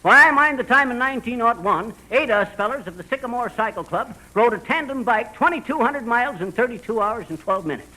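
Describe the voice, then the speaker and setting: A man's voice: very high voice, animated, brisk, few pauses, sharp tone, exaggerated voice. An actor playing a boastful, bumbling middle-aged man in a 1940s radio comedy, folksy and slangy. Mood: boastful, cocky. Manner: folksy slangy bragging with chuckles. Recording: noisy vintage 1940s radio recording.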